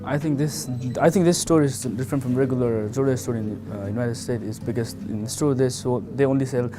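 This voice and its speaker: Nepali teen guy, english not his first language, lots of restarts, music in the back. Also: mid-range voice. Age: teens. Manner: halting, restarts often, plain simple English. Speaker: student showing his community. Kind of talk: casual documentary talk.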